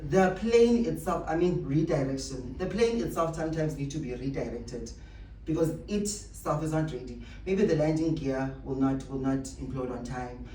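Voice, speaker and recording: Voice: mid-range voice, normal speed, warm tone. Echoey, emphatic motivational speaker. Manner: emphatic, rhetorical questions and repetition. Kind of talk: motivational speech to staff. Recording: echoey room, distant mic, faint noise.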